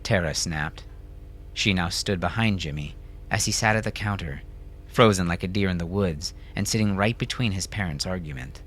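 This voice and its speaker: A man's voice: low voice, normal speed, a bit bright, slight background noise. A man reading scripted fiction as an audiobook narrator.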